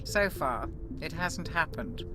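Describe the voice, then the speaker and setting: A woman acting a cautious, sensible military doctor in a video game, speaking in a measured, reproving, matter-of-fact way. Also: sits low, lively intonation, exaggerated voice. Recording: loud music behind the voice. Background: music.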